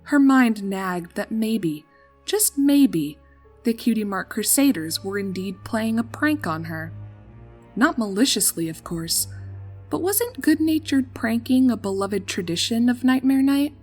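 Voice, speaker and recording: A woman's voice: fairly high pitch, lively intonation, unhurried, a little mellow, breathy edge. A woman reading a scripted story as narrator in a dramatic storytelling style. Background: background music.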